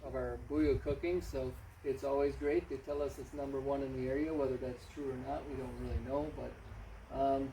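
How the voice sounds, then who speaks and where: A plain-spoken man in his forties who volunteers as a parish cook, chatting casually with many pauses. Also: medium pitch, fairly even intonation, mellow. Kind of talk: casual chat. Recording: distant mic with faint noise.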